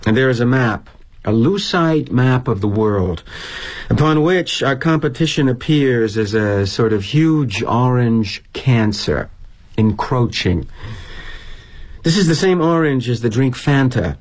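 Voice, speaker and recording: A middle-aged corporate executive in a theatrical monologue, self-justifying and darkly wry. His voice is rough-edged and husky. The pitch moves in lively swoops through arch, mannered phrases. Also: a little slow. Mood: wry, disdainful. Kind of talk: dramatic monologue, theatrical storytelling.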